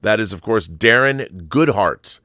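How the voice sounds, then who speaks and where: A man's voice: on the low side, expressive, a little slow, sharp tone, dry recording, studio quality. A middle-aged man hosting a show with casual film commentary, rambling enthusiastically and often digressing. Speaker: show host.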